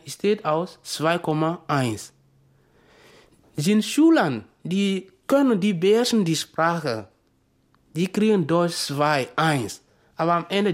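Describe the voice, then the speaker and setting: A woman who is learning German, insisting on her point emphatically in an interview. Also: deep voice. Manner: emphatic, insistent, non-native grammar.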